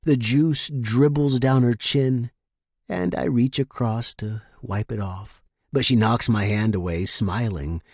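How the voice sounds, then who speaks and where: A man reading first-person fiction as a narrator, in a measured, deliberate and dramatic way. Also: mid-range voice, a little mellow, husky, dry recording, studio quality. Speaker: story narrator.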